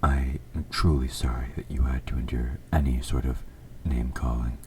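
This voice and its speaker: Evil fantasy king for audio drama, played by a man: extremely deep, dark tone, measured courtly diction, smooth-talking with quiet menace. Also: fairly even intonation. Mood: sympathetic, formal. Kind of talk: scripted audio roleplay addressed to the listener. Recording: faint background noise.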